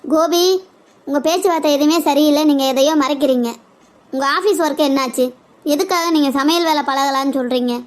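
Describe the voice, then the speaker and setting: Cartoon parody, woman playing suspicious wife, very high pitch, firm, questioning. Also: a little fast, close to an everyday voice. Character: suspicious, businesslike wife pressing her husband.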